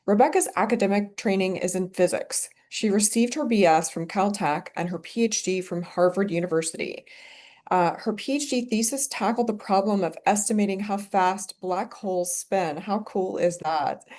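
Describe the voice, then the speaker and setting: A woman's voice: mid-range voice, moderate pace, a little mellow. A woman hosting a seminar, giving an enthusiastic, formal and partly read speaker introduction.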